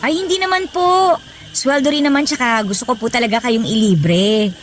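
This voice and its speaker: A woman's voice: sits high, normal speed, lightly acted. A woman with a Filipino accent playing a kind, respectful, caring younger friend in a radio drama. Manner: polite, solicitous. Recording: faint background noise and ambience.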